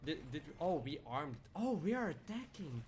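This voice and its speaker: A man's voice: a little high, sing-song, moderate pace, darkish tone, slight background noise. A young man chatting casually on a live stream while gaming, rambling through stories and answering chat by name.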